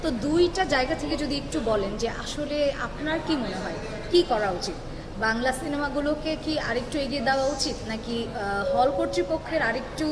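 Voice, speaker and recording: A woman's voice: high-pitched, lively intonation, brisk. A woman reporter puts questions in a press interview, recorded in a reverberant space. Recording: echoey reverberant hall with crowd.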